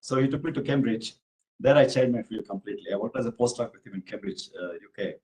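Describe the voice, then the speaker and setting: Male professor from India, quick explanations, filler words, mid-pitched voice. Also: dark tone, low-quality recording. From Bangalore, India. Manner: explains step by step, fast, with many "you know" and "uh". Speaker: invited speaker, genomics professor. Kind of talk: answering questions after a lecture. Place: office hall with an audience.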